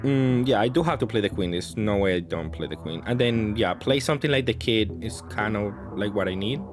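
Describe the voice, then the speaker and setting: A man's voice: lowish voice, lively intonation, a little fast, over music. A man giving casual card game commentary, thinking aloud and hedging his choices as he plays.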